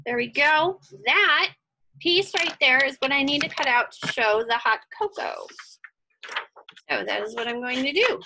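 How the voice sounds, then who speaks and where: A woman with a high voice that swings widely in pitch and often drops into creak. She talks like a casual craft instructor walking learners through each step. Her delivery is chatty and encouraging, thinking out loud as she goes. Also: animated, measured pace, slight background noise, low-quality recording. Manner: chatty, encouraging, thinks aloud while working.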